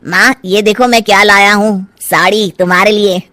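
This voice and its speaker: A woman's voice: medium pitch, steady tone, moderate pace, pushed and bright, no room sound, natural voice. A woman voicing a self-centred, jealous grown son in a cartoon story, brusque and insistent. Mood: ingratiating. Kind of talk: cartoon family story dialogue.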